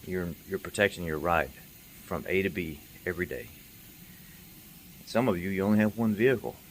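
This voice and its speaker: Middle aged guy w a low voice, casual car vlog rambling, repeats stuff for emphasis, some noise behind him. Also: expressive, moderate pace. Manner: emphatic, repeats points for stress. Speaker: car maintenance video host, mechanic. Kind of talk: casual unscripted monologue recap.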